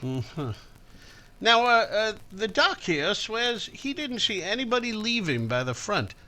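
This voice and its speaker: Halting, folksy small-town police chief played by a man. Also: high-pitched, very animated, sharp tone, heavily acted. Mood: hesitant, probing. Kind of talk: old-time radio acting.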